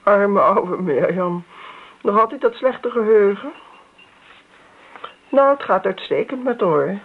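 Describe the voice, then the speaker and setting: A woman's voice: medium pitch, animated, slow, husky, exaggerated voice. An actress playing a brusque, domineering older woman who speaks curtly and cuttingly in a radio play. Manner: curt, cutting, commanding. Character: brusque, busy, sharp-tongued older woman, domineering friend. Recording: tinny, noisy old radio play recording.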